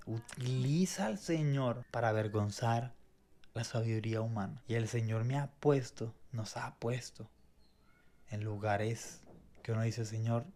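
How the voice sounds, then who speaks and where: A man's voice: medium pitch, measured pace, dark tone. Earnest Venezuelan-born man sharing testimony. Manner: earnest, reflective, with many restarts and repetitions. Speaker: co-host.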